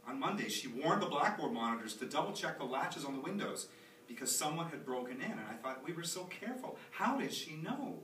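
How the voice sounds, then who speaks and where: Animated, anxious young dad acted by a man onstage. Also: a little high, fairly quick. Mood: fond, nostalgic. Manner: rambling, theatrical, expressive. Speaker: author performing own monologue. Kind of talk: live theatrical monologue reading. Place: small theatre stage, recorded live from a distance. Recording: roomy, distant, noisy live theatre recording.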